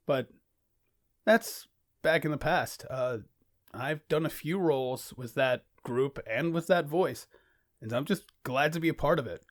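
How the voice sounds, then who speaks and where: A young man narrating a reflective, informal video in a self-deprecating manner, as an amateur voice actor. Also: medium pitch.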